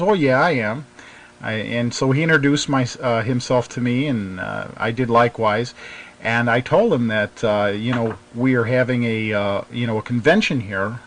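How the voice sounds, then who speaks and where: A man's voice: mid-range voice, normal speed, a bit bright, a little hiss or hum. A man hosting a radio show, chatting casually and telling anecdotes in an affirming, conversational way.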